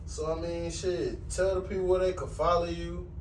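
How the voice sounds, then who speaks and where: A man's voice: on the high side, little pitch movement, moderate pace, slight background noise. A man hosting a podcast, laid-back and slangy in casual profane chat. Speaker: podcast host. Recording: echoey room, mic at a distance.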